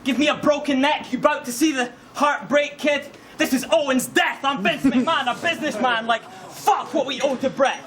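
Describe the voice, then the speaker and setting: A young Scottish man with a Scottish accent, delivering battle rap verses with an aggressive, punchy style and shouted punchlines. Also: very high voice, normal speed, few pauses. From Scotland. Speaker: battle rapper. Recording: noisy live event recording.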